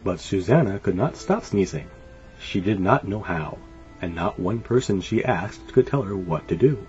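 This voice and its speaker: A man reading a children's story aloud in an animated, expressive way. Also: lowish voice, normal speed. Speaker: storybook reader. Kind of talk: scripted children's story narration. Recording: dull lo-fi sound. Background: light music.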